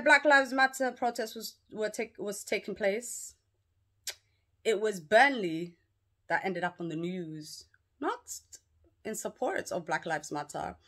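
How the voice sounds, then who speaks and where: A woman's voice: fairly low pitch, pushed and bright. A young woman telling an emphatic, slangy story to her vlog audience. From London. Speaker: vlogger.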